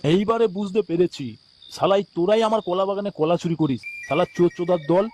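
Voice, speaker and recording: A man's voice: sits high, fast, a little mellow, faint music behind, lightly acted. A man with a West Bengali accent voicing an irritable, foul-mouthed village banana farmer in a comic cartoon. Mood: indignant. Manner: grumbling, swearing.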